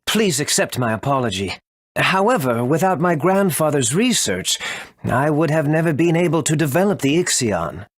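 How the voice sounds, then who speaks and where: A man's voice: fairly high pitch, no echo, studio quality. A man playing an apologetic, earnest scientist in a video game, speaking formally as he explains things. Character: apologetic, earnest male scientist, grandson of a villain. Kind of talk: English video game voice acting.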